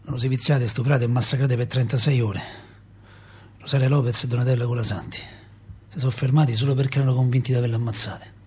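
An actor playing a talkative middle-aged working-class Roman father, a doorkeeper, in an expressive, rambling radio-play monologue with a Roman accent and a husky voice. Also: mid-range voice, fast talker. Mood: hushed, grave. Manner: expressive, rambling, repeats himself and asks rhetorical questions. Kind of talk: theatrical radio monologue, colloquial storytelling.

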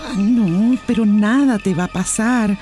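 A woman's voice: medium pitch, measured pace, music in the background, close to an everyday voice. A woman playing an anxious, loving middle-aged mother, fretful, tender and pleading, in a radio drama. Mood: worried.

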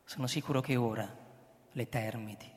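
An actor playing a grave, resigned man who tells of a catastrophe in a sober, deliberate way, as in a radio drama. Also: medium pitch, steady tone, close to an everyday voice. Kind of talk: radio drama acting, first-person narration. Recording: long hall reverb.

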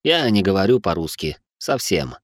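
A man plays a courteous middle-aged Dutch gentleman who speaks slowly and evenly. His voice is low and dry, with a faintly amused politeness, as in an audiobook scene. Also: very animated, no room sound. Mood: polite.

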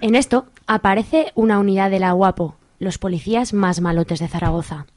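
A woman's voice: medium pitch, normal speed, a little mellow, no room sound. A woman narrating a scripted comic radio play as a storyteller.

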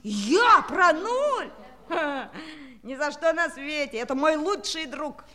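A woman playing a hapless, boastful schoolboy in a children's audio play, excitable, muttering and exclaiming. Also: high-pitched, slow, full character voice.

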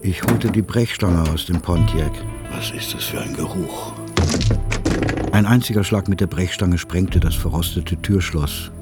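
A sober friend telling his memories in a radio drama. His voice is low and grave, his delivery measured. Music is clearly heard beneath him. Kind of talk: radio drama narration and dialogue.

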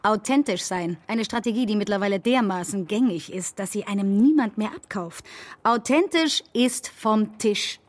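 Radio satire role: actress as a sardonic female image expert, medium pitch, pointed emphasis and dry irony. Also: lively intonation, normal speed, natural voice. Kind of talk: satirical radio play dialogue.

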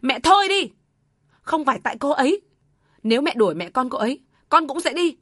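A woman's voice: mid-range voice, expressive, normal speed, no room sound, studio quality, lightly acted. Woman voicing a firm, protective young husband. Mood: earnest. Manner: earnest, pleading then firm.

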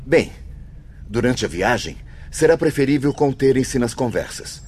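A Brazilian man acting in a radio drama as a calm spirit guide, giving instructions in a measured way. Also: mid-range voice, a little hiss or hum, lightly acted. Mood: instructing.

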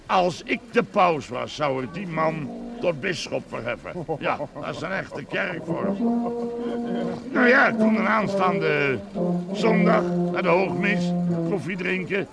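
A man's voice: high-pitched, expressive, takes it slowly, creaky, exaggerated voice. An actor playing a sociable middle-aged village father in a television drama, rambling and folksy. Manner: rambling, folksy, with murmured repetitions. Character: chatty, sociable village father, proud of his sons. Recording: loud band music behind. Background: brass band music.

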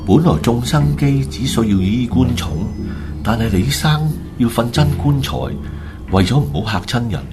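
A man's voice: medium pitch, lively intonation, normal speed, over music. A man narrating a radio drama in a dramatic storytelling style.

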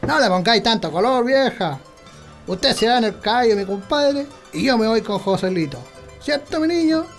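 A man's voice: very high-pitched, animated, normal speed, faint music behind. An actor playing a stubborn, boastful countryman in a radio drama, with a rustic, dismissive manner and a rural Chilean accent. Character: stubborn, boastful countryman, a carousing husband and father.

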